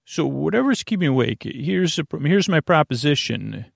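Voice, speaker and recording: A man hosting a bedtime podcast in a creaky voice, rambling in a lulling, halting, meandering way. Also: on the high side, very animated, no room sound, clean studio sound. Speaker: podcast host.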